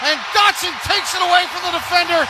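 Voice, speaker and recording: An American man calling live football play-by-play on the radio, breaking into excited shouts on big plays. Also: very high voice, fast talker, very noisy.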